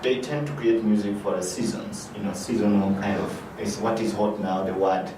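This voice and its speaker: A man's voice: lowish voice, fairly even intonation, normal speed. A man who directs an arts foundation, answering interview questions with many fillers and restarts. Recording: echoey room, mic far off, noisy.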